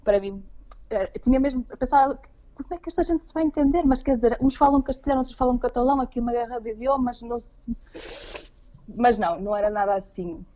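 Mellow Portuguese woman, dull lo-fi sound. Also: fairly high pitch, quick pace. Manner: hesitant, repeats words while searching for phrasing. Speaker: interview guest living abroad. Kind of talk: casual conversational interview.

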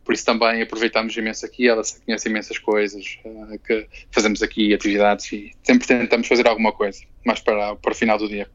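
A young man talks in a loose, rambling way, restarting phrases and tacking on tag questions. He speaks fast, and the recording sounds dull and lo-fi. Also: fairly low pitch, level tone, a bit bright. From Portugal. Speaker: interviewee, Portuguese living in Barcelona. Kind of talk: casual interview conversation.

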